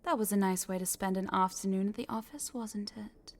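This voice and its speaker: A woman's voice: mid-range voice, steady tone, a little fast, lightly acted. A woman playing a smug, possessive corporate boss in a scripted audio roleplay, teasing and condescending, sometimes dropping into a whisper. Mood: pleased, possessive.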